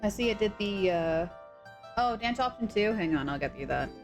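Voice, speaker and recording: A young woman with a sore throat chatting casually and excitably on a live stream, scatterbrained and full of gasps. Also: mid-range voice, moderate pace. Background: background music.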